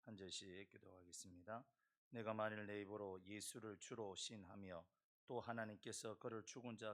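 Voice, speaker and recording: A male pastor, hoarse from a throat cold, slowly reading scripture and introducing a guest speaker. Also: lowish voice. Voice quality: gravelly, husky. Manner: slow, deliberate scripture reading. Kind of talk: church service introduction.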